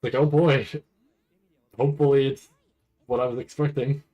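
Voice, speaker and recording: A man giving casual live reaction commentary, nervous and rambling, halting and repeating his words as he goes. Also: mid-range voice, level tone, highs cut off. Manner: anxious, nervous anticipation. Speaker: anime commentator reacting to a livestream event.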